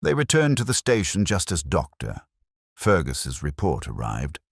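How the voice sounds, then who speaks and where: A man's voice: low voice, huge pitch swings, normal speed, vocal fry, breathy edge, no echo. A man narrating a scripted mystery story in a dry studio recording.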